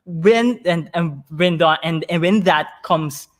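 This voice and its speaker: A man with a somewhat high voice speaks as an interview guest. He is conversational and anecdotal, leaning on key words for emphasis and often repeating a word while he shapes the next thought. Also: slow. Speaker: interview guest, storytelling and marketing entrepreneur.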